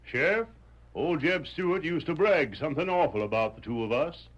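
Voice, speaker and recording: A man's voice: on the high side, expressive, muffled recording. Swooping, folksy cowboy con man. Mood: boastful. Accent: American Southern country. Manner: folksy, swaggering fast talker. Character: wheedling, boastful rustic ex-soldier con man. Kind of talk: old-time radio comic western acting.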